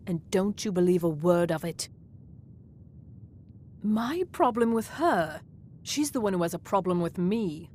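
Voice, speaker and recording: A woman's voice: medium pitch, slight background noise, lightly acted. An actress playing a vain, defensive female wizard in a fantasy video game, haughty and insistent.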